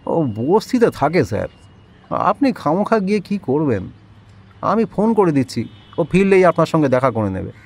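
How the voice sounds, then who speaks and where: A man's voice: fairly high pitch, sing-song, normal speed, darkish tone, slightly rough, full character voice. A man playing a nervous, fawning loan-recovery boss in an audio drama, often stammering and evasive.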